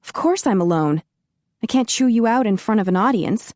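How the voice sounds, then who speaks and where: A woman's voice: a little high, animated, moderate pace, a little mellow, no room sound, clean studio sound, voice pushed up, close to an everyday voice. A woman voicing a fiery, indignant young woman. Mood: angry. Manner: heated, exasperated scolding.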